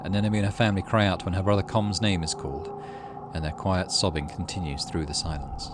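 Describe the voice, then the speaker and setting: A man's voice: sits low, steady tone, fast talker, noisy background. A middle-aged British man hosting a gaming video, reading story text aloud and adding casual commentary.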